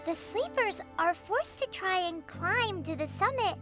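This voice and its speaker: A woman's voice: extremely high pitch, expressive, a little slow, over music. A woman voicing a small, childlike, eager fairy companion in a video game. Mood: earnest. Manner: refers to self by name, exclaiming.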